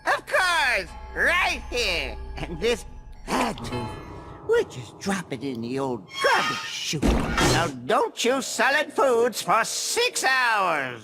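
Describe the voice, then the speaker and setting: An actor playing a theatrical, condescending mad dentist villain in a cartoonish video game, with mock-kindly exclamations. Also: male voice, sits high, huge pitch swings, slow, loud music behind the voice, exaggerated voice. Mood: gleeful, brisk.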